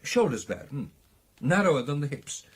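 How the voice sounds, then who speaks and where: An actor with a British accent playing a genial, earnest gentleman clubman in a radio drama, speaking in old-fashioned upper-class phrases. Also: fairly high pitch, very animated, moderate pace, slightly rough. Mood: intent storytelling. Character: genial, earnest English gentleman clubman telling a ghost tale.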